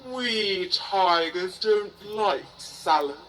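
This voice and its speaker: A woman voicing a hungry, menacing storybook tiger who taunts his prey with drawn-out threats. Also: medium pitch, animated, fairly bright tone, recorded from a distance, faint music behind, low-quality recording. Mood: disdainful.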